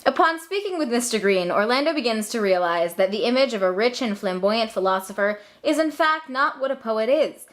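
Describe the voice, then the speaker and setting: A woman's voice: on the high side, animated, a little fast, few pauses. A woman giving comic scripted narration for a puppet show in an irreverent, sardonic manner.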